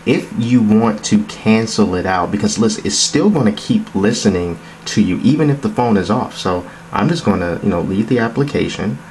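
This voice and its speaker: A man's voice: lowish voice, animated, moderate pace, hardly any pauses, darkish tone, rough recording. A man casually narrating a tech demo in an informal, explanatory, conversational way, recorded indoors. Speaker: app demonstrator. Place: indoors, phone demo on a wooden table. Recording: noticeable background noise.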